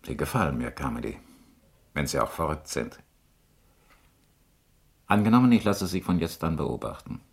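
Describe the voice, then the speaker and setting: Very deep, husky insurance boss. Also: male voice, sing-song, normal speed, pauses often, exaggerated voice. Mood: skeptical, amused. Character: shrewd, skeptical middle-aged male insurance district manager. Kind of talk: radio drama acting.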